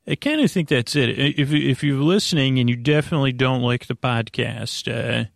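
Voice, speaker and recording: A man with a creaky voice, hosting a bedtime podcast in a halting, rambling monologue that keeps backtracking. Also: mid-range voice, no echo, clean studio sound. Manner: halting, meandering, repeats and backtracks. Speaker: podcast host.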